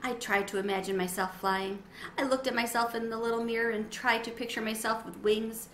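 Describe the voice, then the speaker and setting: A middle-aged woman giving an expressive, dramatized read-aloud of a children's story. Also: on the high side, fairly quick. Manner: animated, dramatizing. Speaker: storybook reader. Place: small sunroom with windows, indoors. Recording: roomy echo, faint background noise.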